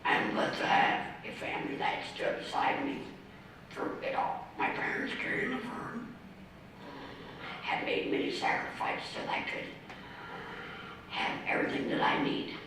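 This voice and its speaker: Man in his thirties speaking in a raspy near-whisper, slow and methodical, bright edge, faint noise under it. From Ohio, US. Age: 30s. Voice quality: raspy, mostly unvoiced whispery voice from vocal cord damage. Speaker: award speaker, ordained minister. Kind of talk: reading a prepared personal speech. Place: community center hall. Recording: echoey hall from far off, faint noise.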